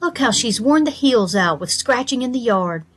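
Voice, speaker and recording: Storybook hedgehog washerwoman, played by a female reader: raised pitch, fussy, humble, rustic politeness. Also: lively intonation, normal speed, slightly rough. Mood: fussy, chatty. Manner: bobbing, servile politeness with rustic turns of phrase. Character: stout little hedgehog washerwoman, anxious, deferential, busy. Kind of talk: children's storybook character voice.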